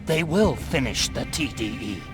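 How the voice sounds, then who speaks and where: An actor playing a brainy, earnest resistance tech expert in a video game, explaining technical things excitably. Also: male voice, medium pitch, a little slow, over music, lightly acted. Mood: disbelieving, alarmed.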